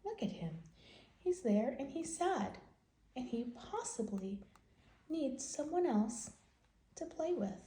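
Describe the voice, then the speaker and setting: Slow-speaking woman reading to children, roomy sound. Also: sits high, very animated, dark tone. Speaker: children's read-aloud host. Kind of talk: bedtime story read-aloud with commentary for young children. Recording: roomy, echoing home recording.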